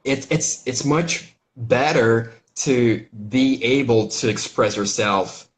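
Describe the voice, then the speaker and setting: A young adult man from Brazil with a Brazilian accent, an English teacher talking casually over a video call, halting with lots of fillers and restarts. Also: medium pitch. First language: Portuguese. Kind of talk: casual interview chat.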